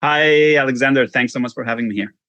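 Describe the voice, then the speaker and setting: A man's voice: medium pitch, fast talker, fairly bright tone, dry recording, muffled recording. Spanish-accented guy chatting as an interview guest, informal, says you know a lot, really dry sound. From Spain. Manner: informal, self-deprecating, frequent "you know". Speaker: interview guest, fundraising consultant. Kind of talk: conversational interview answers.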